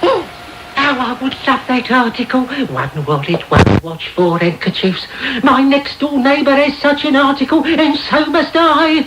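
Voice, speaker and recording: A man's voice: extremely high pitch, expressive, unhurried, heavily acted. An actor in a radio drama playing a sly, wheedling old man who leads young pickpockets, with coaxing, theatrical delivery. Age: older. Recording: roomy, noisy medium-wave radio tape.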